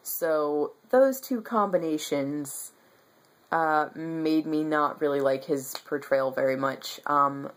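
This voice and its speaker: A woman's voice: low-pitched, slow. Young woman with a stuffy nose, rambling. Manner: rambling and enthusiastic, many ums. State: stuffy nose, losing her voice. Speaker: book vlogger. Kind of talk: casual monthly reading wrap-up. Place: bedroom with bookshelves, indoors.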